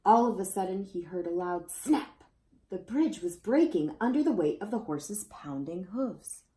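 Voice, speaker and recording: A woman reads a children's story aloud. Her pitch moves in an animated, expressive way as she addresses young listeners. The sound is echoey, as from a room. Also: measured pace, a little hiss or hum. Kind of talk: expressive children's picture-book read-aloud. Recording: roomy, echoey indoor sound.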